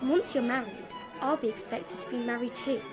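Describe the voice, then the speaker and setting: A woman's voice: fairly high pitch, brisk, over music, crowd noise, close to an everyday voice. A woman playing a pert, sulky fifteen-year-old Victorian younger sister in a theatrical period drama. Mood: sulky, pleading.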